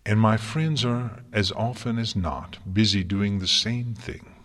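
A man's voice: on the low side, measured pace, fairly bright tone, voice pushed up. A man voicing a distraught young female unicorn student in a story, emphatic, bitter and anguished. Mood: subdued, sorrowful. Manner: emphatic, anguished, sarcastic delivery.

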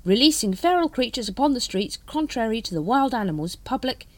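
A woman's voice: a little high, sing-song, fairly quick, dry recording, pitched above the performer's own voice. Woman as an eager, earnest young watchman, lifted voice. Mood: triumphant.

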